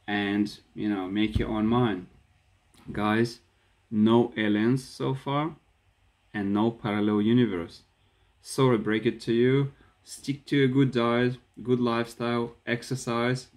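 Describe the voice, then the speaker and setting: A man in his thirties with a Ukrainian accent, talking to a phone camera in a small room and gesturing as he speaks. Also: on the low side. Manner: animated, gestures with his hands while talking. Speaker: vlogger. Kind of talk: casual talk to camera. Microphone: phone camera at arm's length.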